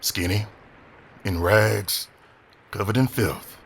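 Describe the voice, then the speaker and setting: An actor playing a big, swaggering sailor in an audio drama, teasing and faintly intimidating. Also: male voice, on the low side, steady tone, slow, creaky, fairly bright tone, a little hiss or hum, full character voice. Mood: mocking, menacing. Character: broad, swaggering, mocking male pirate sailor.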